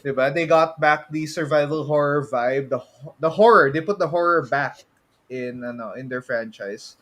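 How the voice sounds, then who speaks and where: Male podcast host, slightly high voice, Filipino accent, conversational, drops Tagalog particles into English. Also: moderate pace, a bit bright. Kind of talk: casual podcast chat.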